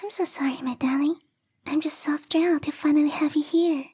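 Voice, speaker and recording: A woman voicing a bubbly, lovestruck young female snow spirit in a soft, breathy ASMR roleplay whisper, coy and rambling. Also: sits high, fairly even intonation, fairly quick, lightly acted. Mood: bubbly, chatty.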